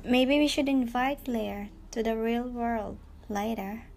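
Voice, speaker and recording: Woman as pushy teenage girl, highish voice. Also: slow, vocal fry, darkish tone, slight background noise. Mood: persuading. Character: pushy teenage girl, a bad-influence friend. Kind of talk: amateur radio drama acting.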